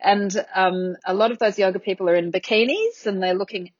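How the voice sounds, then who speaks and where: A woman's voice: medium pitch, fairly quick, no room sound, dull audio. A woman, a guest chatting casually and irreverently about her work, laughing often. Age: 50s. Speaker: interview guest, yoga business founder. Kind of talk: casual conversation.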